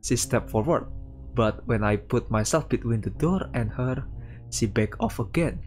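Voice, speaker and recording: A man streaming a visual novel, reading aloud and commenting casually in a halting way. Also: mid-range voice, darkish tone. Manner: halting, breaks off to react to the story. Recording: faint music behind the voice.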